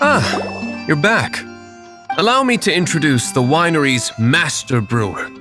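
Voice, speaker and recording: A man voicing a reserved, calculating noble winery owner with formal, measured phrasing in an English anime game dub. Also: a little high, big pitch swings. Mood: composed, polite. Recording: background music.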